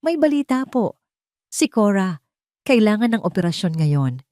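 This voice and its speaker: An urgent male assistant played by a woman narrator in an audio drama. He is respectful and deferential, pitched up from the narration and recorded dry. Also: very animated, moderate pace, a little mellow, no echo, close to an everyday voice. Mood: urgent, worried.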